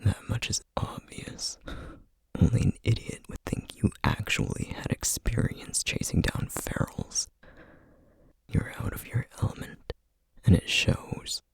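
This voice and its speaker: Man acting a menacing, husky whispering feral creature. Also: lowish voice, wildly swooping pitch, no echo, heavily acted, low-quality recording. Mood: accusing. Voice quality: breathy, husky near-whisper. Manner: threatening, slow, deliberate interrogation. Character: menacing, distrustful feral male essence-eater creature. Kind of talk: ASMR roleplay audio drama.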